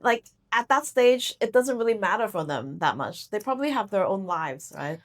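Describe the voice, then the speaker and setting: A woman's voice: mid-range voice, sing-song, moderate pace. A woman hosting a podcast interview in a casual chat style, often echoing short agreements as she listens. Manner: frequent murmured backchannels, repeating short agreements.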